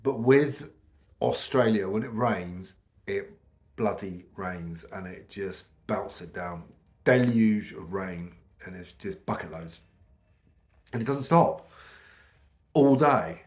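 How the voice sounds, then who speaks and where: Croaky British man with a cold. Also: lowish voice, takes it slowly, long pauses. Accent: British English. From United Kingdom. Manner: chatty and rambling, lots of ums and restarts. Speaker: vlogger. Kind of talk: casual chat to camera.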